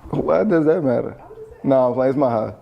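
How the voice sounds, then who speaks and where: A man's voice: medium pitch, expressive, normal speed, gravelly. A man chatting casually and flirtatiously in a teasing, slangy way. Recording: muffled video clip with music.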